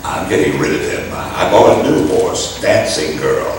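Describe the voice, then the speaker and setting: A man's voice: rumbling low, big pitch swings, normal speed. A man playing a brusque, impatient office boss in old-time radio comedy style. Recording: very echoey room, distant mic, clear noise.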